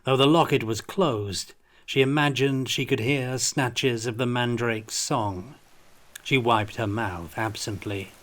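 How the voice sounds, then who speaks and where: Slow, deliberate male audiobook narrator. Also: mid-range voice, a bit bright. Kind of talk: scripted fiction narration.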